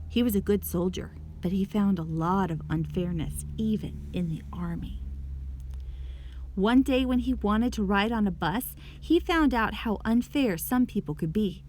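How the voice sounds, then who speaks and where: Female storybook reader, mid-pitched, narrating expressively for a young audience. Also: a little mellow. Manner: clear storytelling with questions to young listeners. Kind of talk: expressive children's read-aloud narration.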